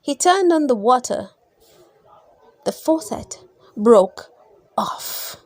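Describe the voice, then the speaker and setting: A woman's voice: high voice, no echo. A woman reading children's bedtime stories aloud expressively, drawing out key words and putting questions to young listeners. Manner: expressive, drawn-out emphasis, gasps and sighs, questions to young listeners. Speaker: storybook reader. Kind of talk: read-aloud children's bedtime story narration.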